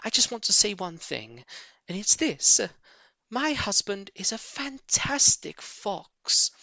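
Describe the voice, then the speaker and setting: A man voicing a gentle, loving female fox in a storybook, with a tender, shy manner. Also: high-pitched, sing-song, slow, dry recording, voice pushed up, heavily acted. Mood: shy, proud.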